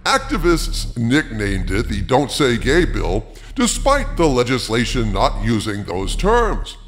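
A man's voice: mid-range voice, sing-song, unhurried, fairly bright tone. A man hosting an opinion show, delivering scripted commentary in a theatrical, emphatic, rhetorical manner. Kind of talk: scripted commentary with article readings. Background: background music.

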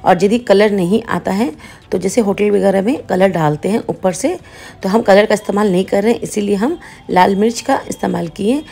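A woman's voice: medium pitch, moderate pace, gravelly, a little mellow, breathy edge. A woman hosting her cooking channel, patiently explaining each step of a home recipe.

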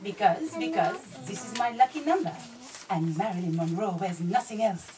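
A woman's voice: mid-range voice, lively intonation, moderate pace, natural voice. A woman voicing the teasing, imperious ghost of a French designer in a comic puppet show, with a put-on French accent and theatrical delivery. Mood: playful, grand. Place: small indoor shop puppet show. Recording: noisy, distant camera microphone.

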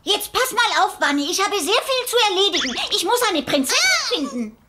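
A woman's voice: extremely high pitch, sing-song, brisk, very noisy. A woman voicing a nagging, earnest female cat mentor in an audio drama. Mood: stern, urging. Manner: admonishing, earnest. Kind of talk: scripted German audio drama acting.

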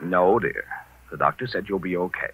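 An actor playing a calm, suave married private detective, probing and soothing, in 1940s radio style on an old recording. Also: male voice, low voice, moderate pace, breathy edge, no echo, full character voice, low-quality recording.

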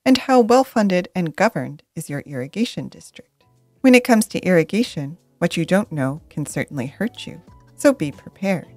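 A woman narrating a scripted educational video in a lively, emphatic way, stressing questions. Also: lowish voice, moderate pace, no room sound, soft background music. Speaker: presenter of land-buying explainer videos.

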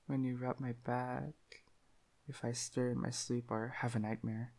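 A man's voice: mid-range voice, level tone, unhurried, darkish tone. A man voicing an affectionate, shy young dog-boy boyfriend in an intimate roleplay, with a lisp and hesitant, cutesy delivery. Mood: content, affectionate. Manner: breathy giggling, hesitant stammering, cutesy. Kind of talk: intimate roleplay audio acting.

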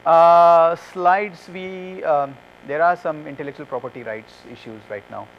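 A man's voice: a little high, steady tone, a little slow, lo-fi sound, slight background noise. A man in his thirties, a conference speaker, answering audience questions with many fillers and restarts, on a lapel mic. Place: conference room with curtains.

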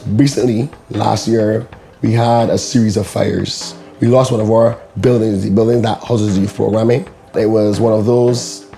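Deep, mellow-voiced man from Barbados. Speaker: executive director. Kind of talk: documentary interview. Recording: faint music under voice. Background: faint music.

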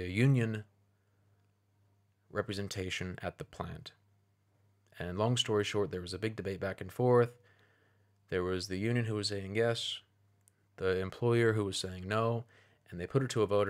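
A man giving an opinionated video commentary, speaking in a measured, deliberate and persuasive way. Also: low-pitched.

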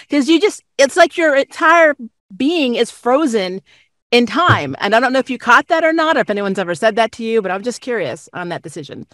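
A woman's voice: high voice, a bit bright, no room sound, clean studio sound. A woman conducting an enthusiastic, effusive interview over a video call.

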